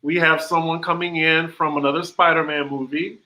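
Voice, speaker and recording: Panelist, man in his thirties, slightly higher and bright-toned, halting delivery. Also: flat delivery, rough recording. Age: 30s. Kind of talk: casual panel chat.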